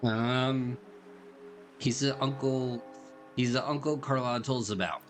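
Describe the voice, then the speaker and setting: A male game streamer talks casually over game music. His voice is mid-pitched, and he reacts in slangy, joking asides as he comments on the play. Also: steady tone, a little slow. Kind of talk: casual livestream gameplay commentary.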